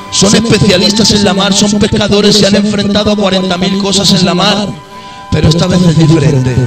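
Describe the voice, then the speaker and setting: A man delivers a fervent sermon in a noticeably high voice. He sinks into low, confiding stretches before climbing to loud, ringing peaks, with clear music playing under him throughout. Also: distant mic. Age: adult. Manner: impassioned, swings between low intimate tones and high shouted peaks. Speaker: preacher.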